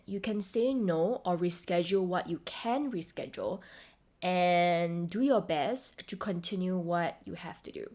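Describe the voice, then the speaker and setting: A woman hosting a self-help podcast, speaking to the listener directly in a deliberate, encouraging way. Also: fairly low pitch, a little mellow. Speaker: podcast host and voice coach.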